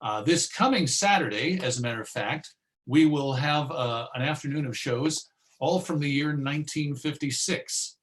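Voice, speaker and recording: A man speaking as a radio host giving an informal online presentation, chatty and self-deprecating, with a halting delivery. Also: on the high side, a bit bright, slight background noise. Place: remote video presentation.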